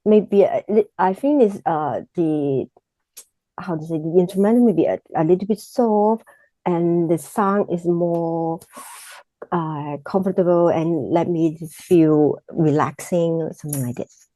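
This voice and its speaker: A woman with a Cantonese accent speaks slow, broken English, pausing often to find her words. Her voice is soft and warm with a mellow tone. The sound is dry and close, with no room echo. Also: fairly low pitch. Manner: halting, searching for words in simple English. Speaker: exam candidate. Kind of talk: mock speaking exam answers.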